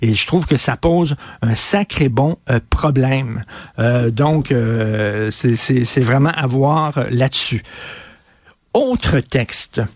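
A man's voice: medium pitch, slow, darkish tone, no room sound. A Québécois man hosting a radio talk show, giving emphatic opinionated commentary, hammering points with repetition, frequent fillers and restarts. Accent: Québécois French.

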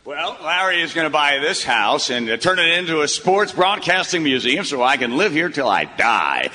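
An actor playing an older, folksy veteran baseball broadcaster in a radio play, hammy and wryly sentimental. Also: male voice, a little high, big pitch swings, normal speed, pushed and bright, exaggerated voice. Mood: pleased. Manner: folksy, hammy announcer delivery with homespun cliches. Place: live stage performance in a hall. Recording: reverberant hall, faint background noise.

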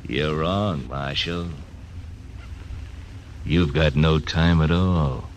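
A man's voice: a bass voice, very slow, background noise, full character voice. An actor playing a cocky, cold-blooded male gunman who laughs and sneers, in 1940s radio acting. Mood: sneering.

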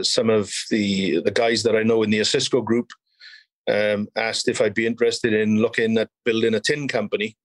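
A man in his fifties with a Welsh accent, speaking as an interview guest in a measured, explanatory way. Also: on the low side, no room sound. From South Wales. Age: 50s. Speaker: podcast guest, mining company chief executive and geologist. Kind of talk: conversational interview, recounting career.

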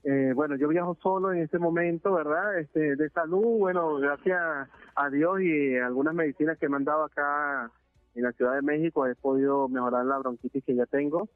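A man from Venezuela speaks over a thin, tinny phone line. His voice sits a little high for a man, and he talks earnestly, stopping and restarting often with filler sounds. The sound is dry and close. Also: steady tone, moderate pace, no room sound, soft background music. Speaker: interviewee, deported migrant. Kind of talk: phone interview testimony.

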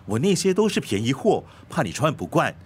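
Husky, deferential, anxious servant. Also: male voice, medium pitch, very animated, brisk. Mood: obsequious, worried. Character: deferential, anxious male servant fussing over his master. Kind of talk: radio drama acting.

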